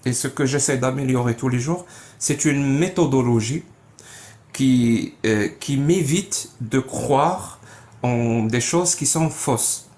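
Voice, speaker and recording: A man's voice: medium pitch, slow pace, a little mellow. A man from Algeria, an atheist, explaining his ideas in a casual live debate and often repeating words as he searches for the next one.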